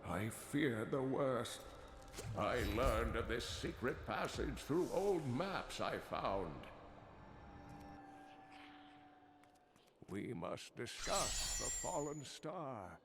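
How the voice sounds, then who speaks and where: An actor playing an elderly, kindly and learned uncle in a fantasy video game, speaking expressively with grave foreboding. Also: male voice, medium pitch, background noise. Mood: grateful. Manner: slow, expressive, foreboding storytelling.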